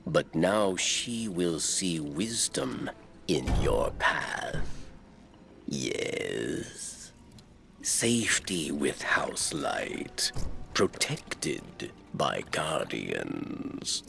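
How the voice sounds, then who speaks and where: A cunning alien scribe from a video game, low and very gravelly. He counsels in clipped, halting phrases, dragging them out extremely slowly. Faint music sits behind him. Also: big pitch swings, fairly bright tone, heavily acted. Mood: reassuring. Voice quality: gravelly, creaky rasp. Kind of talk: dramatic video game cutscene acting.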